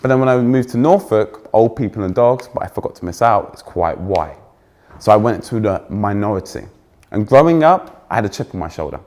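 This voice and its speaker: Young English male keynote speaker, fairly posh accent, loud, energetic, teases the crowd. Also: fairly low pitch, fairly quick. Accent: trained-out Essex, now fairly posh English. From England. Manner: energetic, joking with the audience, self-deprecating. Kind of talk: conference keynote talk. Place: stage before a live audience.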